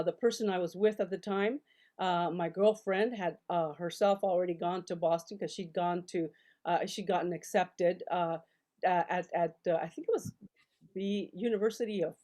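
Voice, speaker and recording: A woman in her sixties from El Paso, talking on a video call from her home study, telling stories in a lively way with many restarts and fillers. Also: on the low side. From El Paso, Texas. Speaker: guest, novelist and scholar. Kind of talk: casual storytelling interview. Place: home study with full bookshelves.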